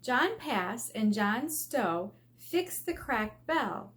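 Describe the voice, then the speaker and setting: Female elementary teacher, unhurried read-aloud for young kids, expressive with stressed key words, mid-pitched, slight background noise. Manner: expressive, asking the listeners questions, emphatic drawn-out key words. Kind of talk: read-aloud lesson for children.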